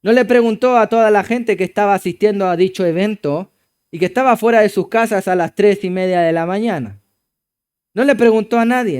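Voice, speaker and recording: A Chilean pastor, a man, preaching in a high-pitched voice with a smooth, resonant clarity. He presses his points with emphatic exclamations, then drops to low, hushed passages. It is a church sermon delivered with conviction.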